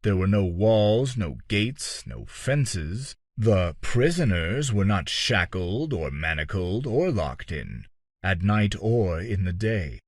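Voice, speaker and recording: A man reading a dark fantasy story as an audiobook-style narrator, measured and dramatic, with deliberate pauses and phrases that trail off. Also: lowish voice, very animated, dry recording, studio quality.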